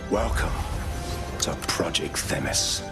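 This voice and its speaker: An actor playing a cold, authoritarian military company boss in a video game, speaking in a measured, menacing, oratorical way. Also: male voice, very deep voice, lively intonation, loud music behind the voice, exaggerated voice. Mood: grandiose, commanding. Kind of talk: dramatic video game acting.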